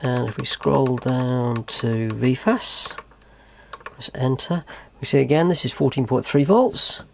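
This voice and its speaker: A man's voice: medium pitch, slow pace, vocal fry, a little hiss or hum. A man presenting a how-to tutorial in a methodical, explanatory way. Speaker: host of a tutorial channel.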